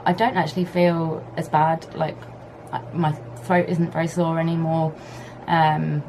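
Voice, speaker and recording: A woman chats to her vlog camera at home, her low voice worn husky and hoarse with a gravelly edge. She rambles in an easygoing, unhurried way. A faint hum from a fan sits under her voice. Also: flat delivery, darkish tone, poor audio. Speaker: vlogger. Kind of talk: casual vlog chat to camera. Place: home on a hot day, fan running. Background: fan and pressure washer outside.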